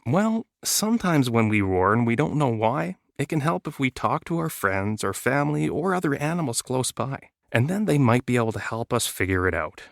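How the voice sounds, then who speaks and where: A man's voice: mid-range voice, very animated, normal speed, creaky, hardly any pauses, dry recording, studio quality. Wise, patient lady elephant voiced by a man. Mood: patient, explaining. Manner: patient, reassuring explaining. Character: wise, gentle female elephant counselling youngsters.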